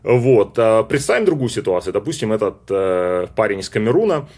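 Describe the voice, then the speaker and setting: A man's voice: lowish voice, sing-song, a little fast, darkish tone. A man from Russia talking in a casual, reflective monologue, hesitant and full of fillers and restarts. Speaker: speaker on personal identity.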